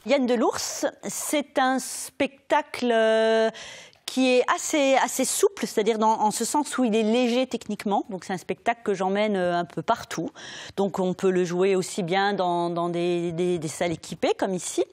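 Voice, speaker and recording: A woman's voice: medium pitch, moderate pace, darkish tone. A woman storyteller talking in an explanatory interview way, thoughtfully rephrasing as she goes. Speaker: storyteller with a theatre company.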